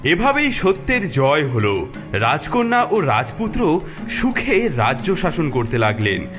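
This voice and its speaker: A man's voice: on the high side, huge pitch swings, a little slow. A man narrating a scripted fairy tale as storyteller. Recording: music behind the voice.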